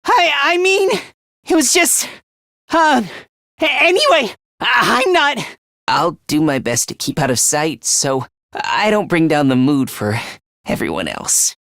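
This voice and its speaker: A man's voice: high voice, very animated, unhurried, creaky, fairly bright tone, no room sound, studio quality, full character voice. A man voicing a nervous, self-loathing teenage schoolboy in a video game, with a stammering, hesitant and defensive delivery. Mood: panicked, then meek, gloomy. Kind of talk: English video game voice acting.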